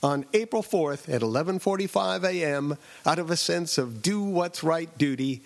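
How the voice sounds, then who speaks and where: A middle-aged man from Southern California narrating a scripted personal story as host, with a wry, deadpan delivery and dramatic pauses. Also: fairly high pitch, vocal fry. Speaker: radio essayist and host.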